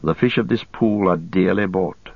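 A grave captain speaking with solemn authority, read by a male narrator in a lowish voice. His speech is formal and deliberate, with each sentence set down firmly. The recording is dry and slightly dull. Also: vocal fry, dry recording. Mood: stern, grave. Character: stern male captain passing judgment, grave and measured. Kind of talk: audiobook character voice.

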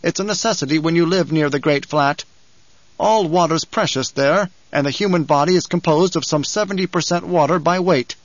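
A man voicing a calm male ecologist who lectures with quiet menace. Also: a little high, no echo, lo-fi sound, close to an everyday voice. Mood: lecturing. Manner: lecturing, measured.

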